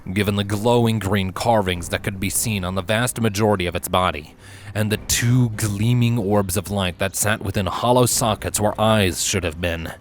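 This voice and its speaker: A man's voice: lowish voice, moderate pace. A man reading a scripted fantasy story aloud as its narrator. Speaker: fan fiction reader, narrator. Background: background music.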